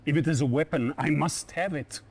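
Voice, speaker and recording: An actor playing a ruthless, commanding Soviet dictator with a Russian accent in a game cutscene. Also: male voice, on the high side, a little fast, slight background noise, close to an everyday voice. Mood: demanding, obsessed. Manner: commanding, menacing. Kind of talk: dramatic game cutscene acting.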